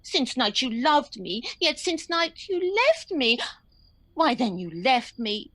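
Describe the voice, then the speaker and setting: A woman acting a young heroine in a Shakespeare radio play, bewildered and pleading. Her high voice swoops widely and takes its time over incredulous questions. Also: big pitch swings, takes it slowly, fairly bright tone, dry recording, full character voice. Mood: hurt, disbelieving.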